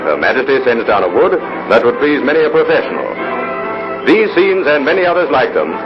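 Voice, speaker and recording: A man's voice: mid-range voice, very animated, a little fast. A British man delivering scripted wartime newsreel commentary in a formal, declamatory manner. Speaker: newsreel narrator. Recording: muffled vintage 1940s newsreel soundtrack. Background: loud music.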